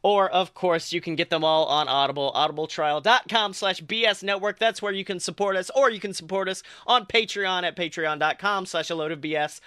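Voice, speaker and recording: A young man hosts a casual podcast with a high, bright voice and a jokey, hyped manner. He is recorded close and dry, with no room sound. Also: steady tone, brisk, hardly any pauses, clean tone, no echo. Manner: hyped, joking. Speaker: podcast co-host. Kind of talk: casual podcast chat and story reading.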